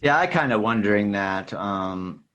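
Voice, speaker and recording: Male podcast co-host, lower-pitched voice, rambling conversational delivery in a casual movie chat. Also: lively intonation, unhurried, fairly bright tone, highs cut off, slight background noise. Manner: rambling, repeats "sort of thing" and "you know". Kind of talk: casual film discussion.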